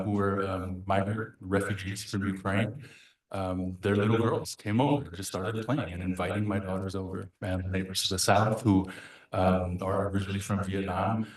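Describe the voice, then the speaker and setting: Man, native Samoan speaker, council member, lowish voice, halting heartfelt speech, echoey council chamber. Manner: halting, heartfelt, many fillers and restarts. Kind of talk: farewell speech at a council meeting.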